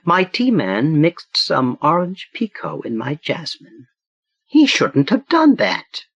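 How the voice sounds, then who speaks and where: A man voicing a genteel, elderly woman murderer in a high, sugary falsetto with slow, lilting delivery. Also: wildly swooping pitch, gravelly, a bit bright, husky, no room sound, highs cut off, heavily acted. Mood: dreamy, vacant. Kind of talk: audiobook narration.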